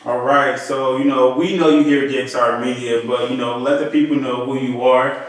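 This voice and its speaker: A man's voice: medium pitch, steady tone, normal speed. A man hosting an interview in a casual, buddy-like way. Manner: informal, buddy-like address. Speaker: interview host. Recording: very echoey room, distant microphone.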